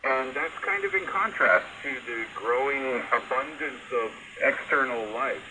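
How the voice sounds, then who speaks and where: A man's voice: mid-range voice, animated, unhurried. A man hosting a conversational radio discussion, explaining things and checking in with the listener as he goes. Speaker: radio talk show host. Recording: noisy shortwave radio broadcast. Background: shortwave static and hiss.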